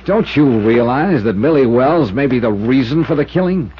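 An actor playing a hard-boiled, wry insurance investigator, with an American accent and clipped, dramatic 1950s radio delivery. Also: male voice, mid-range voice, expressive, moderate pace, breathy edge. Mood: pressing, insistent. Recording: muffled 1950s radio recording with music.

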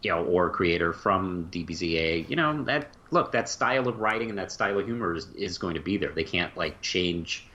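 A man's voice: deep voice, animated, fairly quick, hardly any pauses, pushed and bright, lo-fi sound. A man in casual conversation as a podcast co-host, hesitant and thinking aloud as he goes. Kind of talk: casual chat.

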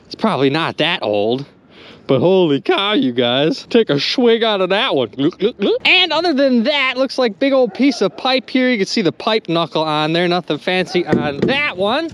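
Man, young adult, excited casual vlog narration, outdoors on a boat, very animated pitch. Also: normal speed. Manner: animated, exclaiming, chatty with viewers. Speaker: magnet fishing vlogger. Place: on a small boat on a river, outdoors.

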